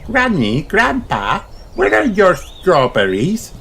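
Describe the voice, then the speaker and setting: A man's voice: high-pitched, huge pitch swings, takes it slowly, creaky, a bit bright, soft background music, pitched above the performer's own voice, full character voice. A man voicing a cheerful little girl piglet in a storybook, speaking eagerly and in a sing-song way.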